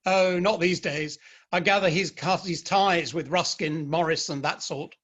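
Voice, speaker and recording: Male actor as modest Victorian man of letters, middle-aged, wry, slightly high-pitched, in a staged reading. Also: steady tone, unhurried, fairly bright tone. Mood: conversational, musing. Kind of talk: dramatic play reading.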